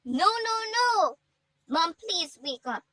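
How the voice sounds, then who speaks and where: A woman's voice: high-pitched, unhurried, pushed and bright, dry recording. A woman voicing an emotional, dramatic village girl in a told story, defiant yet tender. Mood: panicked. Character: defiant grieving village girl, tender with her sick mother.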